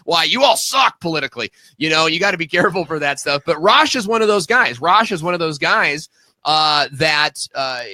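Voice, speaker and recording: A man's voice: a little high, bright, forceful tone, no echo. A man in his thirties co-hosting a live show in a casual, chatty panel style. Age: 30s.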